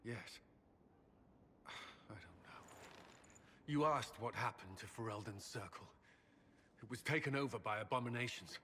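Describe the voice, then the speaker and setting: This is a tormented soldier commander in a video game, his tense, bright voice straining and breaking off mid-thought. A long reverb trails behind him over faint ambient sound. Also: fairly low pitch, slight background noise. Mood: anguished. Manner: strained, halting, intense. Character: troubled male soldier commander, haunted and guilt-ridden.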